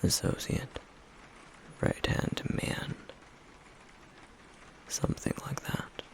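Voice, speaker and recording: A man playing a stoic, guarded flame demon in an ASMR roleplay, in a breathy, gravelly near-whisper with halting, measured pauses. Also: slight background noise. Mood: somber, explaining.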